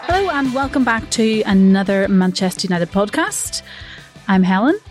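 A woman's voice: mid-range voice, big pitch swings, normal speed. A woman hosting a podcast in friendly, teasing casual chat, recorded in a room at a training ground. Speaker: podcast host. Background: faint gym music.

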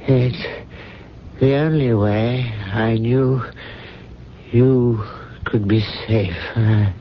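An actor playing an ailing, devoted man with dry dignity and a halting, weary delivery in a 1970s radio drama. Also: mid-range voice. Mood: weary, resigned. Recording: dull old radio recording with hiss.

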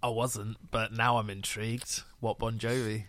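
A man co-hosting a casual music podcast in a low-key, understated way. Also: flat delivery, normal speed, a bit bright, no room sound. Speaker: podcast co-host.

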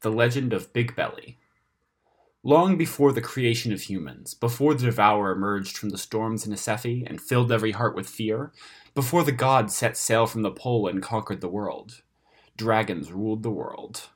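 A man reading a scripted fantasy story aloud in a dramatic, expressive storytelling manner. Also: fairly low pitch, moderate pace. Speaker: story narrator reading own fantasy tale.

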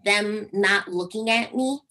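A young woman with a squeaky speaking voice, a singer chatting over a video call and telling stories with lively acted-out quotes. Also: slow pace. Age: young adult. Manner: animated storytelling, acts out quotes with big pitch jumps. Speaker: singer guest. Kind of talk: casual interview chat.

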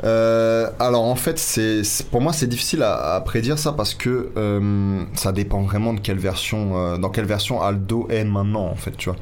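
Fairly deep male sports panelist, faint noise behind. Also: normal speed, few pauses, a little mellow. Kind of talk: casual sports discussion.

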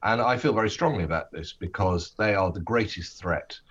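A British man speaking as a politician in an interview, making his case in an earnest, measured way with hesitant phrasing. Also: lowish voice, fairly bright tone, highs cut off.

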